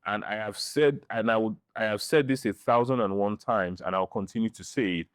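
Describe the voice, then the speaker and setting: A middle-aged man with a Nigerian accent giving opinions in a podcast interview, speaking deliberately and repeating phrases for emphasis. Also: fairly low pitch, clean tone, no echo, clean studio sound. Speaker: interview guest, film director and writer.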